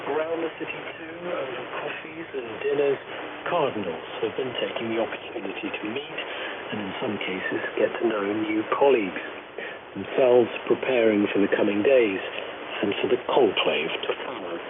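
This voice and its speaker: A man's voice: mid-range voice, moderate pace. A man delivering a scripted news report as a radio correspondent. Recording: narrow shortwave radio, crowd noise behind.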